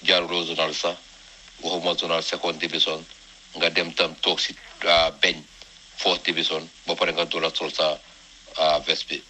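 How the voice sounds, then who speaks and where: A man with a very deep voice and a strikingly bright, hard edge speaks casually to a group. A little noise sits behind him. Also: level tone, normal speed, low-quality recording. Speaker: discussion participant. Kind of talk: casual spoken opinion on a topic.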